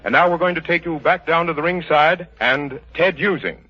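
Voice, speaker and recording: A man's voice: fairly high pitch, moderate pace, husky. A man reporting live as a 1930s radio announcer, with florid, formal phrasing. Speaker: dressing-room radio reporter. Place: indoor stadium dressing room. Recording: dull 1930s radio broadcast.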